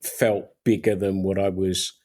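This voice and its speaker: A man's voice: low-pitched, flat delivery, measured pace, a little mellow, a little hiss or hum. A man hosting a podcast interview, speaking in a hesitant, thoughtful way as he searches for words. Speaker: podcast host.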